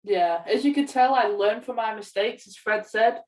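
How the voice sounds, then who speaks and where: A woman's voice: fairly high pitch, moderate pace, darkish tone. A young woman talking casually as a co-host on a podcast. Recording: roomy, distant from the mic.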